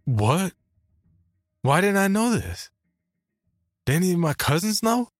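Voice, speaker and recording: A man voicing an incredulous, excitable younger man in story dialogue, exclaiming and speaking casually. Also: on the low side, normal speed, no echo, studio quality, voice pushed up, close to an everyday voice. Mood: stunned. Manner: exclaiming, sputtering, casual swearing.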